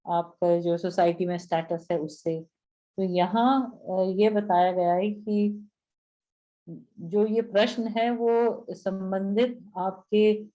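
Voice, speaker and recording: A woman reading a spiritual text aloud to an online class in a slow, measured way and then explaining it. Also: medium pitch, steady tone, dark tone. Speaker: class reader. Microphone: video call. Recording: dull lo-fi online call audio.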